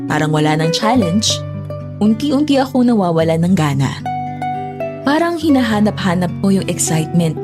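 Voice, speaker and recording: A woman's voice: fairly low pitch, lightly acted. A woman narrating a scripted drama in the first person as a smug womanizer recounting past conquests. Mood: restless, self-absorbed. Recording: loud guitar music under voice.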